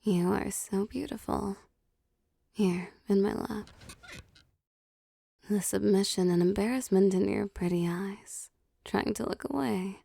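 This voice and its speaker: A woman playing a possessive, doting, wealthy vampire boss in intimate roleplay audio, soft and teasing, often slipping into whispers. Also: mid-range voice, steady tone, vocal fry, dry recording, studio quality. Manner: slow, teasing, pampering, softly commanding.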